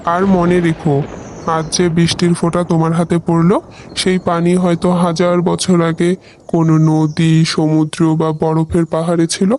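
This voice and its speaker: A man voicing a wise, playful talking rain cloud in an educational cartoon, explaining in a measured way and teasing with questions. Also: a little high, completely flat delivery, a little mellow, slight background noise, close to an everyday voice, rough recording. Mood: patient, explaining.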